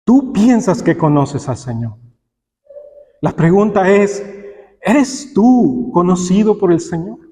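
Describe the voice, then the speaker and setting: Male preacher, high voice, sermon delivery, rhetorical questions, acted-out quotes, long hall reverb. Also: lively intonation, slow, dark tone. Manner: acts out imagined dialogues and quotes. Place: church hall, preaching aloud to congregation. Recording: long echo of a large hall.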